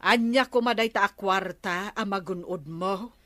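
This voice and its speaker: A woman's voice: medium pitch, measured pace, a bit bright, dry recording, natural voice. Nagging Ilocano captain's wife, acted by a woman. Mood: scolding. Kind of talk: Filipino comedy radio drama acting.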